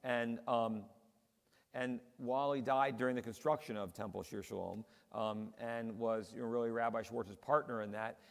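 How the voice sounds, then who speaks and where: A man's voice: mid-range voice, fairly even intonation, moderate pace, creaky. A man, a rabbi, chatting casually on a panel and telling stories with lots of fillers. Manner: chatty storyteller, many fillers. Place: large synagogue hall. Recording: long hall reverb.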